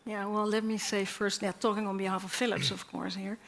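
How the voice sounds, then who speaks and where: Gravelly-voiced woman, corporate panelist. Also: medium pitch, little pitch movement, a bit bright. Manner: measured, corporate, frequent "uh" pauses. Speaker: company representative on a panel. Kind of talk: prepared panel remarks. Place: stage at a university event.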